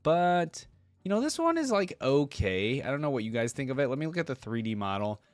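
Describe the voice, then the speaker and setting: A man's voice: medium pitch, big pitch swings, brisk, no room sound, faint music behind. A man hosting a reaction video, talking casually and thinking aloud in a rambling, informal way.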